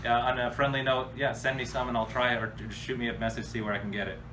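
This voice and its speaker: A man's voice: mid-range voice, flat delivery, fast, sharp tone. A man from the United States hosting a casual, off-the-cuff question-and-answer chat in a blunt, slangy, informal way. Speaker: host answering viewer questions. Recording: distant mic with clear background noise.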